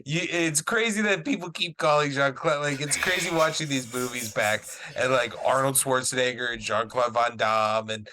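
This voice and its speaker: A man casually discussing a film with a friend, laughing often and pausing on fillers. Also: mid-range voice, noisy background. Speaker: podcast co-host.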